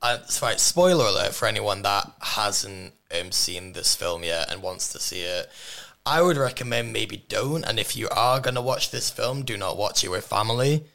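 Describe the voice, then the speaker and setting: A young man hosting a casual radio chat show from a studio, his voice hoarse and worn, teasing and laughing often. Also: lowish voice, animated, normal speed, pushed and bright. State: hoarse, lost voice. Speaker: radio host. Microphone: studio microphone.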